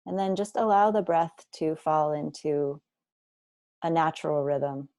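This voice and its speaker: A woman guiding a body scan meditation, speaking slowly and deliberately with gentle instructions and long pauses. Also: deep voice, no echo, studio quality. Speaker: meditation teacher.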